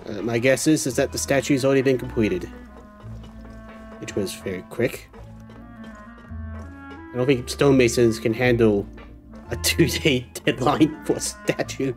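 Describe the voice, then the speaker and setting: A man's voice: mid-range voice, a little slow, long pauses, faint music behind. A man streaming a game, reacting with casual live commentary.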